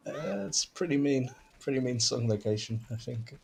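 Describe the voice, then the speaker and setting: A man doing relaxed live commentary on a game tournament, hesitant at times and chuckling often. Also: mid-range voice, moderate pace, creaky.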